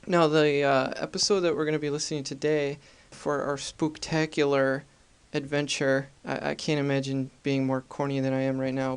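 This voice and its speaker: A man's voice: mid-range voice, clear, resonant tone. A man hosting a podcast, introducing things casually in an informal, jokey, self-deprecating way. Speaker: podcast host.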